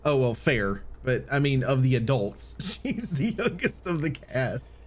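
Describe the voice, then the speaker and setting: Mid-pitched man in casual banter. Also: sing-song, a little slow, breathy edge. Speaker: podcast co-host.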